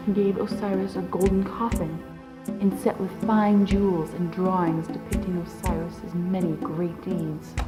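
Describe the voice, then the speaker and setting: A woman's voice: on the low side, reverberant, lightly acted. A woman playing a stern, insistent, bitter mother in an audio drama. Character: commanding, bitter mother, scholarly and accusing.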